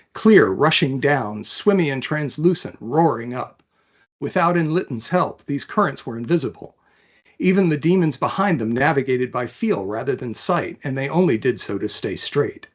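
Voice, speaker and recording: A man, an author, talking about and reading from his own fantasy novel in a thoughtful, measured manner. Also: medium pitch, husky. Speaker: author, interview guest.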